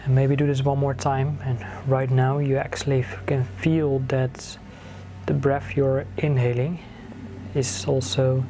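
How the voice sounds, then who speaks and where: Guy doing a guided meditation, really slow n soothing, long pauses, noticeable ambient noise behind him. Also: mid-range voice, little pitch movement, warm tone. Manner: soothing, instructing in short phrases with long pauses. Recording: clear background noise, ambient sound.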